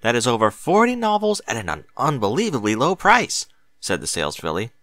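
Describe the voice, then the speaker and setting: A man's voice: medium pitch, wildly swooping pitch, a little fast, fairly bright tone, no room sound. A man reading a story aloud as scripted narration, with dramatic, emphatic delivery. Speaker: audiobook narrator of fan fiction.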